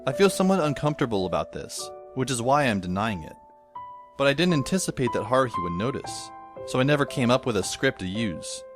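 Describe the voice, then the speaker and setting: A man's voice: mid-range voice, big pitch swings, brisk, natural voice. A man playing a sardonic, exasperated teenage schoolboy who narrates and acts his own story in an amateur audio drama, wordy and dry. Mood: wry narration. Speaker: narrator and lead character. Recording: faint music behind the voice. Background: faint music.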